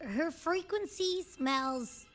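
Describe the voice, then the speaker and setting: A woman voicing a small, sassy, curious girlish companion in a video game, expressive and huffy. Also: very high-pitched, extremely slow, soft background music, exaggerated voice. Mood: reluctant, huffy. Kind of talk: scripted game dialogue.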